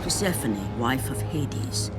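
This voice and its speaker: An actor narrating a mythic video game epic in a solemn, portentous, measured manner. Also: female voice, rumbling low, lively intonation, exaggerated voice. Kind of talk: scripted dramatic game narration. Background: dramatic music.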